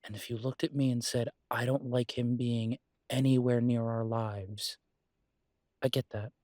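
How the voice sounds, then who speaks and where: Male actor as earnest werewolf lover, unhurried delivery, close dry sound, halting pauses, tender and protective, intimate audio drama. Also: mid-range voice, flat delivery, a little mellow, no echo, close to an everyday voice. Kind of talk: intimate dramatic roleplay monologue to a partner.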